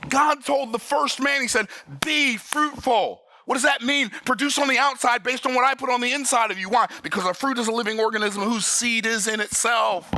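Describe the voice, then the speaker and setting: A man's voice: very high-pitched, brisk, hardly any pauses, bright, forceful tone, no echo. A man in his sixties teaching the Bible in an emphatic, folksy preaching manner. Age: 60s. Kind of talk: sermon-style Bible study.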